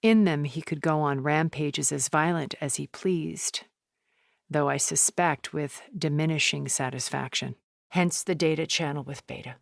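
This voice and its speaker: A woman playing a wealthy, cunning, ancient art connoisseur in a video game, speaking in a refined, measured, articulate way with a wistful temper. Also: low voice, gravelly, no echo, studio quality. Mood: composed, businesslike. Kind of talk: video game character dialogue.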